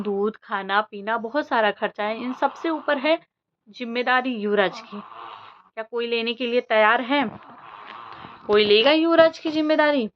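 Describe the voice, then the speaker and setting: A woman vlogger giving casual, opinionated commentary in an emphatic, argumentative way. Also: medium pitch. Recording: dull lo-fi audio with faint noise.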